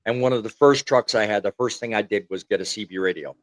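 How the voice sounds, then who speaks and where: A man hosting a live casual panel chat, a former truck driver telling stories with animated delivery. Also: on the low side, quick pace, no echo.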